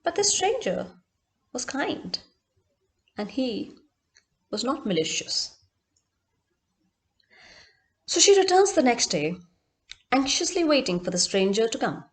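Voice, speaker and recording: A woman's voice: high voice, sing-song, unhurried, pauses often. A woman storyteller narrating expressively and dramatically, in short emphatic phrases with repetition.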